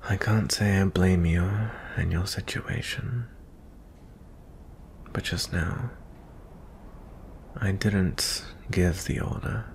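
Deep, slow, gentle fantasy prince acted by a man. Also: gravelly, a little hiss or hum, full character voice. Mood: apologetic, gentle. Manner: soft-spoken, hesitant, breaking into whispers. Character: gentle, merciful young fantasy prince, male. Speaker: audio roleplay performer. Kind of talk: intimate ASMR fantasy roleplay acting.

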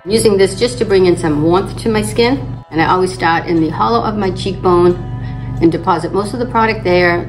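An older woman hosting a beauty channel, slightly raspy from allergies, calmly explaining each makeup step in front of a fixed camera. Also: fairly low pitch, fairly quick, over music, rough recording. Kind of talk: makeup tutorial narration. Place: indoors in front of a plain backdrop.

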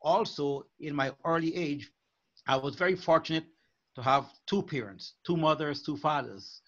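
An older man from Guyana, a life coach, speaking earnestly and in a measured way as a guest on a video call. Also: fairly high pitch, fairly bright tone. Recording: muffled video call audio.

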